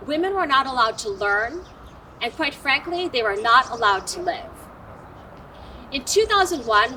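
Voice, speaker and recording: A woman's voice: fairly high pitch, noisy background. A woman hosting a show, speaking earnestly and with emphasis, moving from scripted narration to interviewing a guest. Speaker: host and company founder. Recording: distant mic with music behind.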